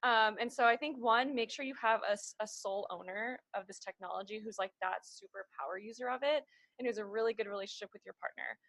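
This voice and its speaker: A woman's voice: a little high, fast, a bit bright, dry recording. A woman speaking as a marketing panelist, giving advice in a casual professional discussion.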